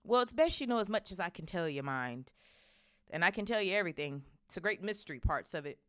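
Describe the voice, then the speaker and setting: A woman voicing a big, gruff, loyal and emotional male groundskeeper in a storybook, with a blustering, halting, folksy manner. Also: lowish voice, lively intonation, a bit bright, no echo, clean studio sound, voice pushed up. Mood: anxious, halting.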